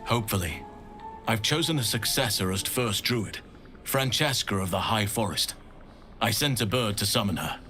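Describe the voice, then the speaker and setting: Low-voiced, solemn druid. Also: male voice, animated, sharp tone, faint music behind. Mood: calm, solemn. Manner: measured, formal, solemn. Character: grave, wise, courteous middle-aged male druid healer. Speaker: game character voice actor. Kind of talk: scripted fantasy game dialogue.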